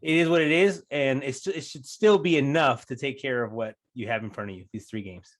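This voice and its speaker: Man, podcast co-host, easygoing, relaxed filler-heavy chat. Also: mid-range voice, a little fast.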